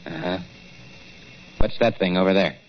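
A man's voice: deep voice, fairly even intonation, close to an everyday voice. Male actor for pushy young reporter, old-time radio. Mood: brisk. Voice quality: brisk, pushy. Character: brash young American newspaper reporter. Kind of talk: 1940s radio acting. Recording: dull old radio recording with hiss.

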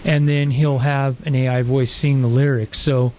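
A man's voice: mid-range voice, little pitch movement, normal speed, muffled recording, a little hiss or hum. A man guesting on a radio show, talking in a casual, rambling way with lots of fillers. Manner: rambling, lots of ums and likes. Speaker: guest co-host.

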